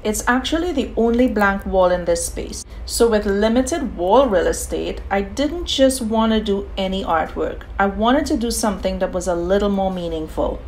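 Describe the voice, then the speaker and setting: A woman's voice: mid-range voice, normal speed, hardly any pauses, a little hiss or hum, low-quality recording. Trinidadian woman narrating a home project. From Trinidad and Tobago. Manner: calm, personal and explanatory. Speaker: DIY home decor creator. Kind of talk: scripted voice-over narration.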